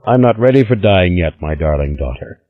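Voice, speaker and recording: A man's voice: low voice, lively intonation, moderate pace. A man voicing an ill old patriarch, proud and commanding, in an audiobook. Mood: imperious. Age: older. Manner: imperious, commanding. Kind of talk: audiobook character voice. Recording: slightly dull lo-fi recording.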